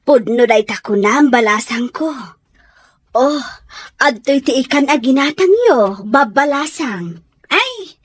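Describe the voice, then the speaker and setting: A woman's voice: high-pitched, lively intonation, unhurried. A woman with an Ilocano accent playing a humble, grateful fish vendor in a radio drama. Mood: friendly, thankful.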